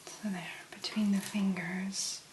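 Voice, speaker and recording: A woman speaking softly and breathily in an ASMR make-up tutorial, gently musing in a hesitant, unhurried way. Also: mid-range voice, level tone, echoey room, a little hiss or hum, low-quality recording. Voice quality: soft, breathy, mostly whispered. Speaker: ASMR content creator, make-up demonstrator.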